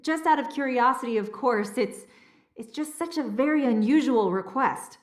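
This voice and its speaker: High-voiced, earnest castle historian. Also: female voice, expressive, moderate pace, roomy sound. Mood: curious. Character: nervous, scholarly female castle historian, a doctor. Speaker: historian and relic keeper. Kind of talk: video game dialogue, expository.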